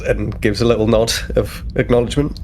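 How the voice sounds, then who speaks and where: A man's voice: mid-range voice, steady tone, a little fast, darkish tone, slight background noise. A man playing a tabletop roleplaying game, casually speaking for and describing his character.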